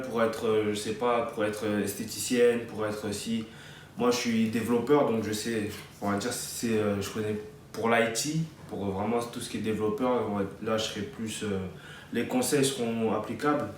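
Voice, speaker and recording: A man's voice: fairly low pitch, heavy reverb, far from the mic, slight background noise. A man in his late twenties from France, giving casual advice in a chatty vlog, with many fillers and restarts. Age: 20s. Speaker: vlogger.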